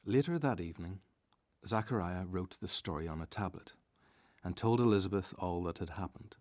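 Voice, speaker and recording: A man with a very deep voice narrates a radio play. His delivery is scripted storytelling, recorded very dry without room sound. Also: normal speed, a little mellow, no echo.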